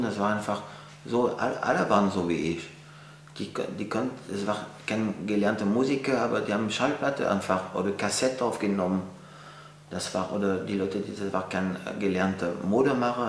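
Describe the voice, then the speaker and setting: A man's voice: lowish voice, normal speed. A man in his forties from France, speaking German with a French accent, answering interview questions in a gallery with many fillers and restarts. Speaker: interviewee, painter. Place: art gallery, indoors. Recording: echoey room, faint hum.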